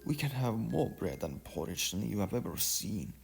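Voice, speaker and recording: A man's voice: on the low side, big pitch swings, moderate pace, vocal fry, pitched above the performer's own voice. A man voicing a nagging, worried old village mother in a story, reproachful and complaining. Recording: music behind the voice.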